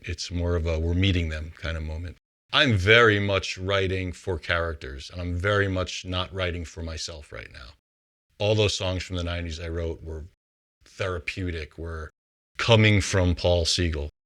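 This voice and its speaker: A man's voice: low-pitched, normal speed, fairly bright tone. A man, a composer and songwriter, casually explaining his work in a reflective way, as if thinking aloud.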